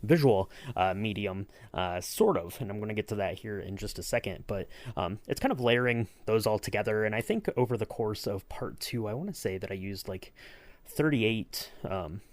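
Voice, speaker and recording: A man's voice: lowish voice, lively intonation, normal speed, dry recording. A man co-hosting a podcast, chatting casually and rambling with lots of fillers and restarts. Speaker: podcast co-host. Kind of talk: casual behind-the-scenes chat.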